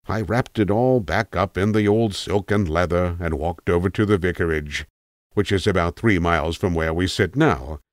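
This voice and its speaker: A man's voice: deep voice, no room sound, studio quality, close to an everyday voice. A man playing a rambling, self-assured old tramp in a storybook, talking in a formal, pompous, digressive way. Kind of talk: storybook character monologue.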